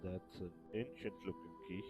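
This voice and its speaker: A man's voice: low voice, moderate pace. A man giving casual gameplay commentary as a gaming streamer. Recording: game music behind the voice.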